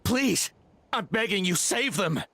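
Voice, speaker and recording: A man voicing an excitable, effusive merchant in a fantasy game, eager and ingratiating. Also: high-pitched, unhurried, no room sound, full character voice. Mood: panicked, pleading.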